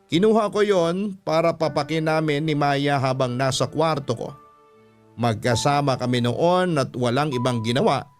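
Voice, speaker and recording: Radio drama narrator: Filipino man, medium pitch, scripted storytelling with a music bed. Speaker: storyteller and host. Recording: music behind the voice.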